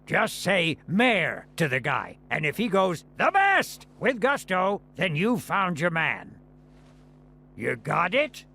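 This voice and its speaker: A man's voice: high voice, wildly swooping pitch, takes it slowly, creaky, pushed and bright, exaggerated voice. A man voicing a self-pitying, pompous city mayor in a video game, with theatrical, melodramatic delivery. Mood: boastful, gleeful.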